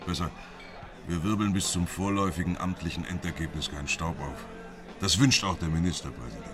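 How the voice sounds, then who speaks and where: An actor playing a sardonic, level-headed police investigator in a radio drama, talking in a dry, casual way. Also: male voice, sits low, brisk, natural voice. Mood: pensive. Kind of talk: radio drama, conversational dialogue.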